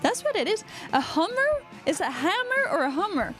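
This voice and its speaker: A woman's voice: extremely high pitch, big pitch swings, moderate pace, over music. A woman from Quebec with a Quebecois accent, co-hosting a casual live podcast, rambling and joking as she reads out chat comments. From Quebec, Canada. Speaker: podcast co-host.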